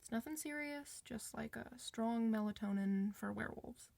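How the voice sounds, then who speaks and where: A woman's voice: fairly high pitch, creaky, darkish tone, no room sound. A woman playing a friendly, reassuring doctor for werewolves in an informal, one-sided ASMR roleplay. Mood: calm, reassuring. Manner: reassuring, informal, hesitant pauses.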